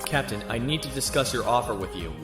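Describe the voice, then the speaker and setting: An actor playing a defiant captive military lieutenant in a science fiction audio drama. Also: male voice, medium pitch, animated, quick pace, music in the background, lightly acted. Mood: wry, guarded. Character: defiant male military lieutenant held prisoner.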